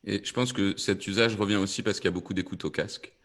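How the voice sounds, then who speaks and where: A man's voice: fairly low pitch, steady tone, fast talker, fairly bright tone. A man speaking as a podcast producer on a discussion panel, explaining things and often rephrasing as he goes.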